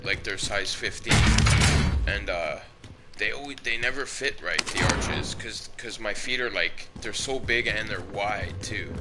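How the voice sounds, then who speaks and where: A man's voice: on the low side, unhurried. A man with a Canadian accent chatting casually while gaming, rambling and repeating himself. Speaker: game streamer. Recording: loud game music and gunfire behind. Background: video game music, gunfire, vehicles.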